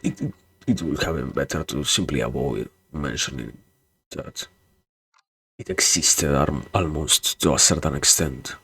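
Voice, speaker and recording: A man's voice: extremely low pitch, a little slow, fairly bright tone, breathy edge. A young man with a non-native English accent, chatting on a livestream in a hesitant, rambling way, repeating words and getting wryly sarcastic. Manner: wry sarcasm. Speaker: virtual streamer. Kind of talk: casual livestream chat.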